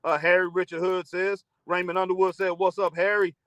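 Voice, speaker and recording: Live-stream host, male, rambling and conversational, talking into a phone in his car, sharp tone. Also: sits high, little pitch movement, fairly quick, no echo, lo-fi sound. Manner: rambling, chatty, reads viewer comments aloud. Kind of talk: casual live-stream chat.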